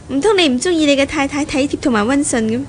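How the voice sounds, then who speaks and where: A woman's voice: sits high, lively intonation, fast. A woman with a Hong Kong Cantonese accent playing a sweet, teasing young bride-to-be in a playful, coy manner in a 1970s radio drama. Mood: playful, affectionate. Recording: noisy old radio drama recording.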